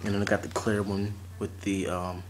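A young man in his late teens chatting casually and haltingly as he presents items to a camera at home. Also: fairly low pitch, flat delivery. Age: teens to young adult. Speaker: vlogger showing fishing tackle. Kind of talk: casual unscripted unboxing chat. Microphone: camera or phone mic at arm's length. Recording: noisy amateur recording with clicks and rustling.

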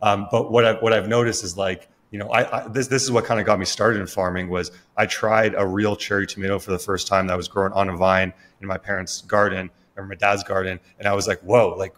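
Low-voiced man, halting casual host. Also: little pitch movement, fairly bright tone. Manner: halting, thinking aloud. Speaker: podcast host, microgreens grower. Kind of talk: casual interview conversation. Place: small room indoors, on a video call.

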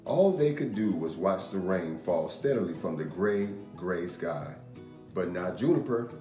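A man in his thirties reading a children's picture book aloud in an expressive, measured storytelling manner. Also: low voice. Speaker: storytime reader, host. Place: indoor room. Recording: roomy echo. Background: music.

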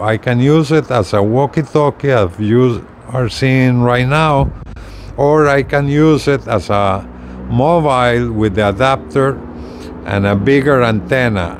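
Slow-paced older man demonstrating gadgets outdoors. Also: medium pitch. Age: 60s. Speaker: gadget reviewer. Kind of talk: casual product demonstration, explaining step by step. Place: outdoors on a residential balcony. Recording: clear outdoor ambient noise.

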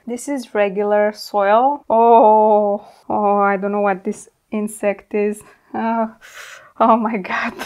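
A woman's voice: medium pitch, a little mellow, slight background noise. A young woman hosting a plant vlog, talking casually and candidly as she works with her hands, informal and mildly sweary. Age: young adult. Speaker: plant channel host. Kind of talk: casual hands-on tutorial chat. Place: indoor plant studio at a worktable.

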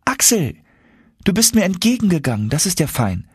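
Guy doing a sweet brave young girl, higher voice, bit breathy, earnest audiobook acting. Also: sing-song, normal speed, dry recording, studio quality, exaggerated voice. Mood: tender, surprised.

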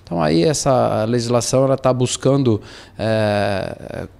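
Formal, halting middle-aged Brazilian man. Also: mid-range voice, creaky, a little mellow, clean tone. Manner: formal, halting with hesitant pauses. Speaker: official being interviewed. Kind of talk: explanatory interview answers. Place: indoors against a plain wall. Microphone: handheld interview microphone held to him.

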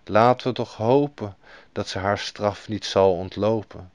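A man voicing a mournful talking horse head that speaks slowly and solemnly in a fairy tale. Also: on the low side, no echo, close to an everyday voice. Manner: slow, solemn.

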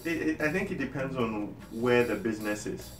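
A man's voice: mid-range voice, animated, brisk. A young man with a Ghanaian accent, explaining things casually as an interview guest. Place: small indoor room. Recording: roomy, distant mic, music underneath.

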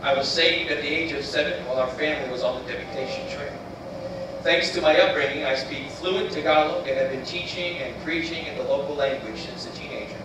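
A man in his thirties from the United States, giving an earnest, measured missionary presentation. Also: fairly high pitch, fairly even intonation. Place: church sanctuary, voice played on screen video. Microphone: recorded from room speakers by far camera. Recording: roomy, distant, noisy church playback.

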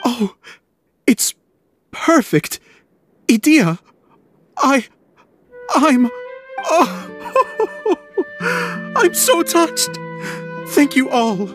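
A woman voicing a gentle, sentimental director character in a video game, earnest and courteous in manner. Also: on the high side, big pitch swings, drawn-out words, long pauses, heavily acted. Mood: deeply moved. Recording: game music under the voice.

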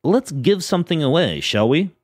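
A man hosting a podcast in casual chat, rambling through lists with a fairly even, monotone delivery. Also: fairly high pitch, normal speed, no echo, clean studio sound. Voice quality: self-described monotone. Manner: rambling, lists things off, frequent fillers and restarts. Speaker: podcast host.

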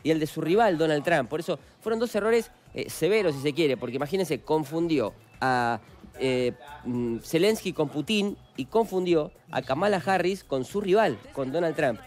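A man's voice: fairly high pitch, animated, moderate pace, darkish tone, soft background music. A man with an Argentine Spanish accent narrating news footage in a conversational way, with frequent fillers. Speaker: news presenter. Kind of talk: news commentary over footage.

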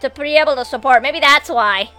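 Woman in her twenties, high-pitched, bright forceful tone, excitable, foul-mouthed, casual game stream chatter. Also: fairly quick. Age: 20s. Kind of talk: casual gameplay commentary and chat with viewers. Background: video game music and sound.

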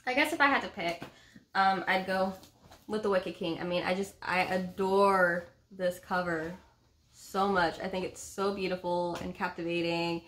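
A woman's voice: lowish voice, fairly even intonation, moderate pace, fairly bright tone, a little hiss or hum. A woman in her thirties chatting casually at home as a book vlog co-host, with a playful, gushing manner. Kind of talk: casual bantering chat. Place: small room at home, indoors.

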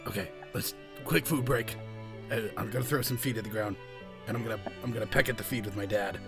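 A man's voice: fairly low pitch, fast, creaky. A man improvising casually as a player in a tabletop game. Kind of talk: casual improvised role play. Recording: music behind.

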